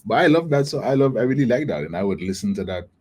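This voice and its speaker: A man with a very deep voice, a soca artist chatting casually as a guest, relaxed and slangy with halting restarts. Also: husky. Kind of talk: casual interview chat.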